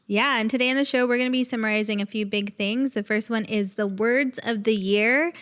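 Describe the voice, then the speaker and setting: A woman's voice: fairly high pitch, fairly quick, fairly bright tone. A woman in her thirties co-hosting a podcast in casual chat, with a bubbly, smiley and friendly manner. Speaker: podcast co-host.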